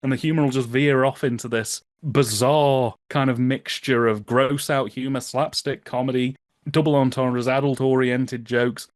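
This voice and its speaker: A man talks as a podcast guest in an easy, conversational style. His voice sits in the middle range and the sound is dry. He explains and tells stories with an amused, animated delivery. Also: medium pitch, moderate pace, no echo. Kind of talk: casual conversational commentary.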